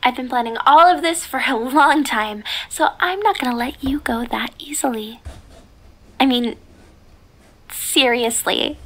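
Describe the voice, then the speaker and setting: Woman playing yandere captor girl, high-pitched, bright, giggly, sweetly menacing, breathy whispers, ASMR roleplay. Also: a little slow, slight background noise. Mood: coy, obsessive. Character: giggly, obsessive yandere girl holding her crush captive.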